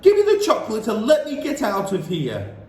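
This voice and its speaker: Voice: high-pitched, huge pitch swings, unhurried, voice pushed up, full character voice. A reader doing a rude, greedy boy. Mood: demanding. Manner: whiny, pushy demands. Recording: roomy distant recording, faint hiss.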